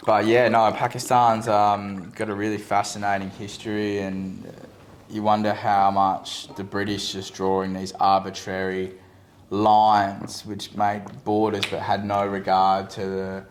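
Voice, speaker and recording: A young Australian man talking in a casual podcast conversation, measured and thoughtful, with frequent pauses and "um"s. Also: on the low side, little pitch movement, a little hiss or hum. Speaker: guest.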